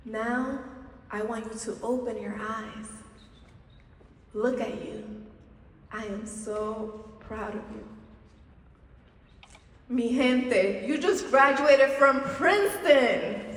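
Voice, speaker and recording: A woman from the Dominican Republic giving a commencement keynote, speaking slowly and deliberately with emphatic pauses. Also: fairly high pitch, slight background noise. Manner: slow, deliberate, rhetorical speech with emphatic pauses. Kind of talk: commencement speech. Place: large auditorium stage. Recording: distant, echoey hall with long reverb.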